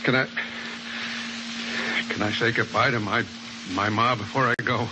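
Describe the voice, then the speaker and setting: An actor playing a desperate, anguished convict in a 1950s radio drama, tough but breaking. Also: male voice, medium pitch, steady tone, moderate pace, natural voice. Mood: subdued, pleading. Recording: noisy old 1950s radio recording.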